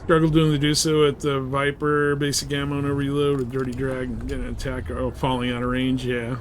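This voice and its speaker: A man's voice: mid-range voice, monotone, a little fast, a little hiss or hum. A man streaming a game, commenting casually and muttering to himself with slangy, mildly profane asides. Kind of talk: casual live gameplay commentary.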